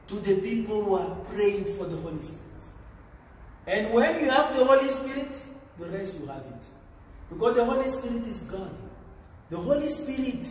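Man, priest, homily, high voice, unhurried, echoing hall, distant mic. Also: a little hiss or hum. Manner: slow, deliberate preaching with frequent pauses and fillers. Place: large tiled chapel, indoors. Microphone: far camera. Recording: echoey chapel from far off.

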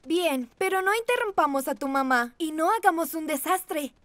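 A woman voicing a small, cautious magical owl sidekick in a children's cartoon dub, fretful and squeaky. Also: animated, normal speed, a bit bright, dry recording.

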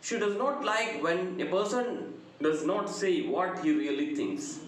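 A middle-aged man with a South Indian accent giving an explanatory lecture in a deliberate, emphatic, sing-song delivery. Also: high voice, expressive. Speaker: English lecturer. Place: small indoor room. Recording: very echoey room, faint noise.

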